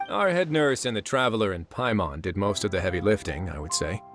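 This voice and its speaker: A laid-back prison warden with a lowish voice and a dry, teasing ease. Guitar music plays clearly behind him. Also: male voice, expressive, a little fast, a bit bright. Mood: easy. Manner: easygoing and dryly teasing. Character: relaxed, dryly amused male prison warden. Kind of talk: game cutscene voice acting.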